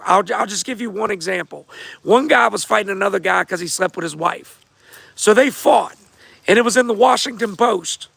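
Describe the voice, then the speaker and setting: Host, male, hoarse and gravelly, higher-pitched, laid-back chat to viewers. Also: a bit bright, dry recording. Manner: laid-back, repeats himself, talks straight to viewers. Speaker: host of a fight show answering viewer questions. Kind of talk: casual livestream chat.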